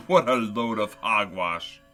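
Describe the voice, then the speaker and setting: A man's voice: on the low side, very animated, slow pace, sharp tone, exaggerated voice. A man playing a grumpy, skeptical old miser in a radio play, muttering theatrically to himself, with music behind him. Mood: scoffing.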